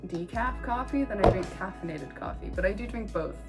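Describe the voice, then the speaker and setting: A young woman talks casually and chattily to the camera, dotting her speech with "like" and "um" and giggling now and then. Her voice sits at an ordinary middle pitch for a woman. Clear music plays behind her indoors. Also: lively intonation, brisk. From United States. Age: young adult. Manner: chatty and giggly, many fillers like "like" and "um". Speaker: co-host, art history student. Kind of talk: casual chat. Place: indoors, a room with no light on.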